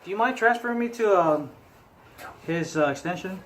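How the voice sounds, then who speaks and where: A man's voice: high voice, expressive, moderate pace, a little hiss or hum. A man improvising prank phone calls, play-acting with a hesitant, stammering delivery. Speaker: prank caller.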